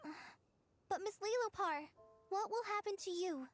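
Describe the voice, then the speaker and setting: A gentle, humble young girl from a video game, with an extremely high voice. She talks earnestly and hesitantly, a little timid. Music plays underneath. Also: moderate pace. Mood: hesitant, worried. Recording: music behind the voice.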